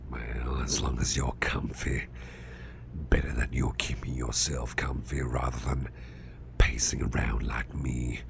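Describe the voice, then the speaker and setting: Casting: male actor as grumpy, fretful boss for ASMR roleplay, rock-bottom growly half-whisper, slow halting mutter, repeats himself. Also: flat delivery, background noise, exaggerated voice. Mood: fretful, rambling. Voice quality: gravelly, growly, very deep, breathy half-whisper. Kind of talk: ASMR roleplay, spoken softly to the listener.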